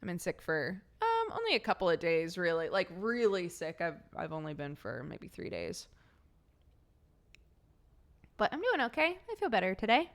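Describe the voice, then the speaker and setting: A woman's voice: fairly low pitch, huge pitch swings, fairly quick, few pauses. Chatty congested young woman streamer. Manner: chatty, rambling, laughs often. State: congested from a cold. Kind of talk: casual chat with viewers.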